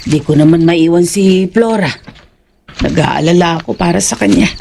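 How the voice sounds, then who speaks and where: A woman's voice: lowish voice, moderate pace, vocal fry, warm tone, a little hiss or hum. A woman playing a worried, caring village woman in an audio drama, fretful and exclamatory.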